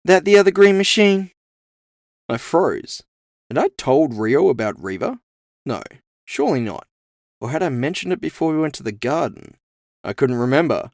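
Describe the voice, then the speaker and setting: A man's voice: medium pitch, very animated, normal speed, creaky, darkish tone, dry recording. Man narrating fiction, very dry recording. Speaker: author and narrator. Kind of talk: scripted first-person audio fiction narration. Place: dry, close recording space.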